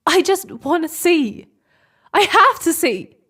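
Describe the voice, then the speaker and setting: A woman's voice: very high voice, very animated, slow, pushed and bright, heavily acted. A woman acting a determined, stubborn space explorer in a sci-fi audio drama, pleading and insistent. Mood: desperate.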